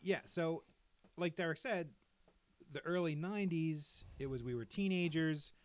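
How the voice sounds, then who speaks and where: A man's voice: a little high, a little slow. A man in his early forties hosts a podcast, speaking in a casual chat and steering the talk along easily. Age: 40s. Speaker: podcast host.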